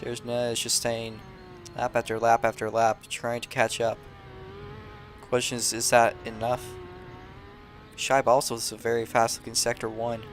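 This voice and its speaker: A man calmly commentating a sim race, calling driver positions and penalties with frequent fillers. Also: medium pitch, normal speed, pauses often, slight background noise. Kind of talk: live sim racing commentary.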